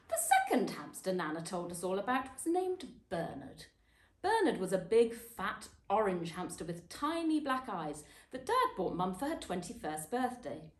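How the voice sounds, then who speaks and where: A woman reading a children's book aloud as a storyteller, expressively and dramatically, with emphatic pauses and stressed words. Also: fairly high pitch. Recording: echoey room.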